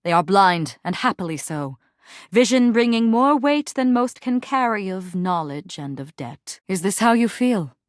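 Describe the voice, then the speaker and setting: A proud warrior general from a video game, played by a woman, speaks with theatrical grandeur. The delivery is formal and melodramatic, full of archaic Shakespearean turns, in a medium-pitched voice. The sound is dry, with no room around it. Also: expressive, measured pace, no echo, natural voice. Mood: solemn, aloof.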